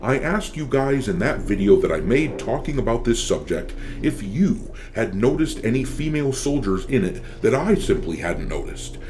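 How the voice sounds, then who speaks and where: A man's voice: medium pitch, sing-song, normal speed, few pauses, background noise. A man delivering scripted opinion commentary as a channel host, with a blunt, sardonic, profane manner.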